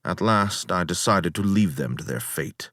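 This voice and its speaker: A man's voice: low-pitched, expressive, moderate pace, a bit bright, no echo, studio quality. A man reading a first-person epic tale in a formal, solemn, dramatic manner. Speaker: narrator reading a story.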